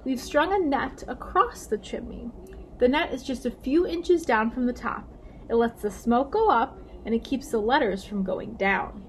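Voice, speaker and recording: Lady doing a fatherly storybook guy, caring and a bit anxious, highish voice, faint noise. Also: lively intonation, normal speed, lightly acted. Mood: patient explaining. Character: fatherly man, patient explainer, protective and anxious.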